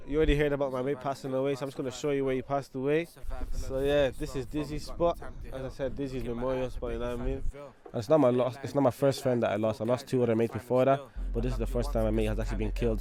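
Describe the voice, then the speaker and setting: A man's voice: mid-range voice, warm tone. A man, talking about his life as a rapper in a loose, chatty way. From Angola. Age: 20s. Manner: loose and chatty, leaning on "you know what I mean" and "obviously". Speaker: rapper telling his story. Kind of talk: casual documentary talk.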